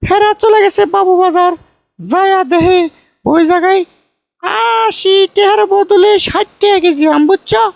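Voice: extremely high pitch, measured pace, creaky, dry recording, full character voice. A performer voicing a bossy, foolish middle-aged village aunt in a comic cartoon, folksy and repetitive, with a northern Bangladeshi Bogra accent. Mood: smug storytelling. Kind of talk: comic cartoon dialogue, rambling storytelling.

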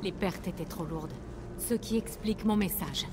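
A woman's voice: on the low side, normal speed, noisy background, lightly acted. A woman voicing a proud, shrewd rebel leader in a video game, with an earnest, firm and persuasive delivery. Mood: grave, resolute. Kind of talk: video game voice acting in French. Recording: game dialogue over loud music.

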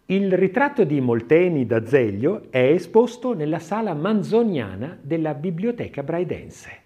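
A man narrating a scripted audiobook in an expressive, literary, dramatic reading style. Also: a little high.

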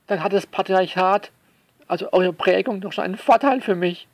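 A man delivering a sarcastic spoken essay to listeners, theatrical and mock-indignant, leaning on words with drawn-out emphasis. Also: sits high, dry recording. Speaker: author commenting on their own novel.

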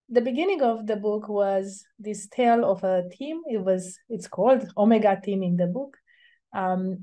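A middle-aged woman speaks over a video call, her voice soft and mellow. She explains her ideas earnestly and at a measured pace, pausing often to think. It sounds like an academic webinar talk given from a home office. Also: medium pitch. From Romania. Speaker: invited speaker.

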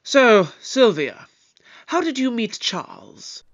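Probing eccentric investigator, played by an actor, audio drama, animated pitch, deliberate formal questioning, slightly muffled recording. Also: a little hiss or hum. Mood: probing, curious.

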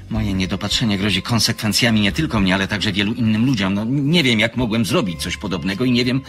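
A man's voice: on the low side, a little fast, fairly bright tone, faint music behind, natural voice. An actor playing a secretive, courteous man in a radio play, with a fine announcer's voice and an earnest, formal, hesitant manner. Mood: distraught, apologetic. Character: secretive man, courteous, evasive, apologetic, guarded about his work.

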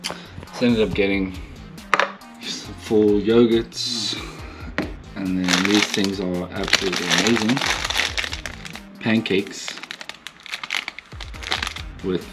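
Relaxed South African man, softened accent. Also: lowish voice, slow pace, pauses often, music in the background. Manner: relaxed, matter-of-fact self-filming. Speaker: professional baseball pitcher vlogging. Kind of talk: casual video diary. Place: hotel room and city street. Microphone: phone camera at arm's length.

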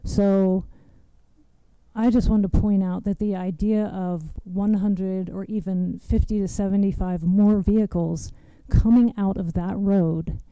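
A soft-spoken young woman giving nervous public testimony at a standing mic in a large hearing room. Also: mid-range voice, steady tone, a little slow, clean tone, dry recording, low-quality recording.

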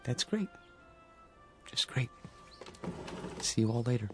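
An actor playing a mild, polite grown son who is eager to please, speaking gently and earnestly in a radio drama. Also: male voice, medium pitch, sing-song, slow pace, soft background music. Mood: polite, agreeable. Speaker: actor playing a discharged patient.

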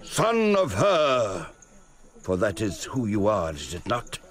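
An old desert sheikh, proud and fiery, in a theatrical radio play. His voice is fairly low and his delivery slow, emphatic and declamatory. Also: wildly swooping pitch, fairly bright tone, exaggerated voice. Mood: fervent. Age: older. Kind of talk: theatrical radio drama acting.

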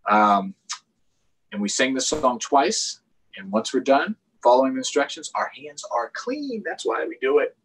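A man's voice: medium pitch, expressive, unhurried, a bit bright, slight background noise, rough recording. A middle-aged man with an American accent hosting a children's storytime, reading aloud expressively with chatty explanations. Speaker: storytime host. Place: small room at home, indoors. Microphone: computer or tablet on a video call.

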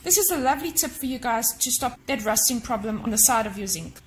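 A woman's voice: a little high, lively intonation, fast, a little hiss or hum. Chatty woman giving home cleaning tips. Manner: chatty and practical, gives tips directly to viewers. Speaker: cleaning vlogger. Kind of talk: casual how-to narration to camera. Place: home kitchen, indoors.

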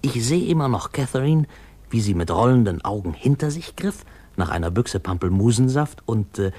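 A man's voice: mid-range voice, lively intonation, normal speed, warm tone, husky. An actor playing a man recalling his boyhood, narrating a radio drama in a conversational, amused way. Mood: amused, reminiscing. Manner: conversational, hesitant, chuckling storyteller.

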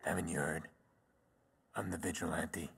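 An actor playing a grim, vengeful vigilante in a video game, speaking with deliberate menace. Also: male voice, low voice, steady tone, gravelly, background noise. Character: grim vengeful male vigilante, grieving uncle. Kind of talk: video game cutscene acting.

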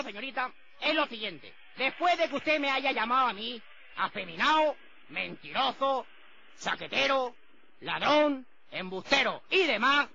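A man's voice: very high-pitched, vocal fry, pushed and bright, a little hiss or hum, exaggerated voice. A man from Spain voicing a prissy, pompous, easily offended male customer complaining on a prank phone call. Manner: pompous, wordy, repeating himself indignantly. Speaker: prank caller. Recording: dull, lo-fi phone prank recording.

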